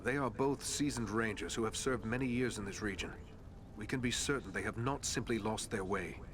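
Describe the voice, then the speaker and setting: A man playing a steadfast, resolute ranger tracker in a fantasy video game, formal, earnest and deliberate. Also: on the low side, background noise, close to an everyday voice.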